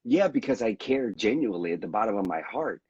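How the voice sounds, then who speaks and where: A man's voice: on the low side, big pitch swings, a little fast, darkish tone, breathy edge, no echo, lo-fi sound. A veteran guest speaking candidly and reflectively about his own experiences, often restarting his sentences as he talks. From United States. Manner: earnest and reflective, with frequent restarts. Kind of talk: candid conversational storytelling.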